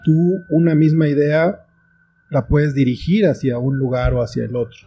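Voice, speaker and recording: A man's voice: fairly high pitch, fairly even intonation, moderate pace, dark tone, breathy edge, faint music behind. A man talking as an interview guest, explaining his thoughts reflectively in short phrases with examples. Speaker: guest, children's book author and screenwriter.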